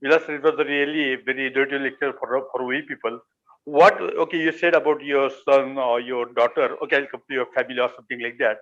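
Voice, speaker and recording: A man politely asks a question on a video call, halting and rephrasing as he speaks. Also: medium pitch, steady tone, a bit bright, highs cut off. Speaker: audience member asking questions.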